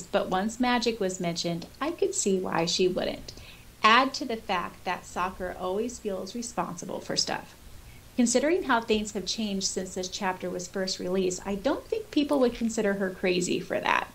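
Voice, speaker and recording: A woman's voice: mid-range voice, moderate pace, rough recording. American woman chatting over audible hiss. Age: 30s. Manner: animated, chatty. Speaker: podcast co-host. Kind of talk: casual chat, reading out and reacting to listener comments. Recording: clear background noise with hiss.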